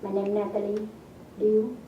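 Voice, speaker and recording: Woman, from Vietnam, hesitant English, slow, distant and echoey. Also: medium pitch, little pitch movement, a little hiss or hum. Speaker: English learner. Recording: distant mic, echoey and muffled.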